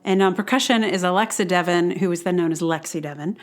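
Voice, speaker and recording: Low-pitched woman rambling casually. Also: quick pace. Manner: rambling, self-interrupting, apologetic. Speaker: podcast host. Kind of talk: casual solo podcast talk.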